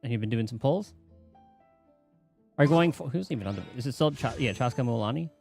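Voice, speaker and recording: A man giving casual live commentary as he streams a game, thinking aloud in a halting way. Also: mid-range voice, lively intonation, vocal fry, darkish tone, faint music behind.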